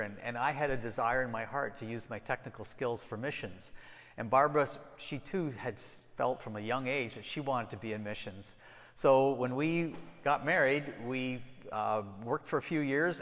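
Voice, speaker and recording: A man's voice: mid-range voice, fairly bright tone. A middle-aged man giving a conversational mission talk to a church congregation, often restarting his sentences. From Ecuador and Peru. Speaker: missionary guest speaker. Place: church sanctuary. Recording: long hall reverb.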